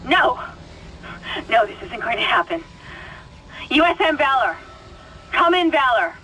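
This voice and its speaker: A woman's voice: sits high, big pitch swings, unhurried, sharp tone, a little hiss or hum, heavily acted, low-quality recording. An actress playing a tense, bossy young woman crew member in a video game, urgently giving clipped orders over a radio. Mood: alarmed, urgent.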